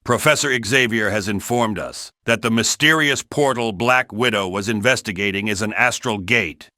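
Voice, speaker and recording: A man voicing a brisk, authoritative spy director giving a clipped mission briefing in a game. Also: lowish voice, pushed and bright, dry recording, clean studio sound. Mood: commanding.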